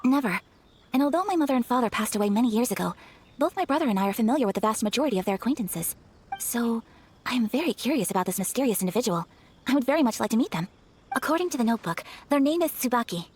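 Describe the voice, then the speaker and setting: A woman's voice: on the high side, expressive, quick pace, a little mellow, slightly rough. A woman playing a refined, dutiful young noblewoman in an anime game, speaking formally and earnestly with careful politeness. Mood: earnest, confiding. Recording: background music under the voice.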